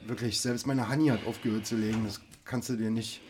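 An actor in a naturalistic radio play, playing a shy, awkward, soft-hearted village farmer who speaks hesitantly and solicitously. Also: male voice, on the low side, fairly even intonation, a little fast, noisy background, lightly acted. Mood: awkward, friendly.

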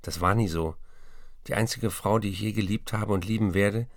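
An actor playing a troubled writer who pleads with his estranged wife, reading a confessional, measured letter in a radio play. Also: on the low side, fairly even intonation, no room sound, studio quality, natural voice. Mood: confessing.

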